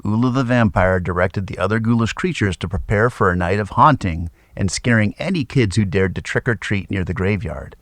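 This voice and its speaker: A man narrating a scripted children's story in an expressive, dramatic read-aloud style. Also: lowish voice, fairly quick, few pauses, no echo.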